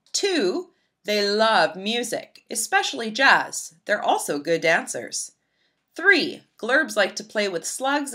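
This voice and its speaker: A woman reading a children's picture book aloud in an expressive, emphatic storybook style. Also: mid-range voice. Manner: animated, emphatic, storybook delivery.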